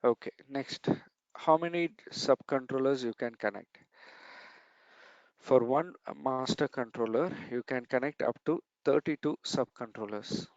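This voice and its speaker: A man's voice: mid-range voice, measured pace, darkish tone, dry recording, dull audio. A man giving a technical training lesson over slides, explaining step by step and repeating key points.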